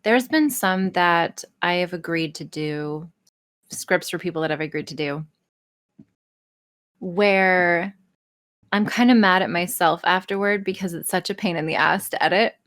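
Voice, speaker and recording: A woman's voice: on the low side, a little slow, a bit bright. A woman chatting casually on a podcast, talking in a candid, wry way with plenty of swearing. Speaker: podcast guest, audio creator.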